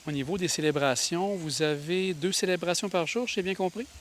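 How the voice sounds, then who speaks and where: A man conducting an interview for local television, asking long questions that wander and restart midway. His voice is on the high side for a man. Faint noise sits under the recording. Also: moderate pace.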